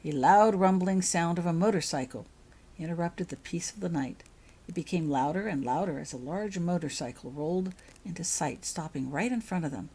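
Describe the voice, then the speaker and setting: A woman narrates a story in a fairly low voice with a husky grain. Her reading is expressive and shaped like an audiobook. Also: moderate pace. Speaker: author reading own story. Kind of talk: audiobook-style narration of fan fiction.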